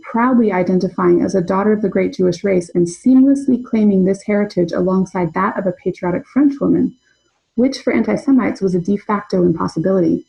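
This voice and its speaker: A young adult woman presenting an academic paper in a measured, scholarly way. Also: mid-range voice, gravelly, mellow, poor audio. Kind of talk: reading a prepared conference paper.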